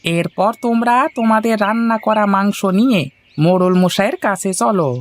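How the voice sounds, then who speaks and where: A man voicing a fatherly village contest overseer in a cartoon, giving orders in a measured, instructive way. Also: high-pitched, lightly acted. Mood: instructing. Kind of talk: Bengali moral-story cartoon acting.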